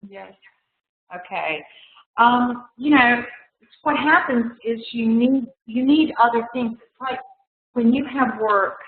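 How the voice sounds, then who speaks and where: A woman's voice: fairly high pitch, takes it slowly. A woman art collector talking casually in a webinar, rambling with lots of fillers and restarts, and losing her voice. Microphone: video call. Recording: echoey room over tinny video call.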